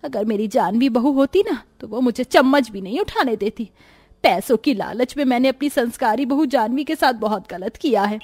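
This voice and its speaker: A woman's voice: on the high side, lively intonation, normal speed, darkish tone, no echo. A woman voicing a greedy middle-aged mother-in-law in a moral cartoon story, with a melodramatic delivery. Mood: regretful.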